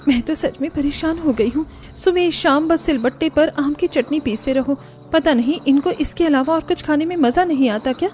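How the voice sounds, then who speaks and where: Woman playing an overworked young daughter-in-law, high-pitched. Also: moderate pace, a little mellow, lightly acted. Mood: exasperated. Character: overworked young daughter-in-law, dutiful but privately grumbling. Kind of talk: cartoon family drama dialogue.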